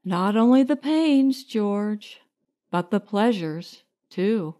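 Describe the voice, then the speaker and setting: A woman voicing an affectionate, teasing woman who cares for a patient, gentle and reassuring, in audiobook dialogue. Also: mid-range voice, expressive, slow pace. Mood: wistful.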